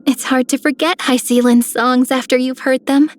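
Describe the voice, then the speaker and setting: A woman voicing a gentle, dreamy young maiden in a video game with a soft, breathy, poetic delivery. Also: high-pitched, fairly even intonation, normal speed, faint music behind, natural voice. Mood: serene. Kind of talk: scripted game character lines.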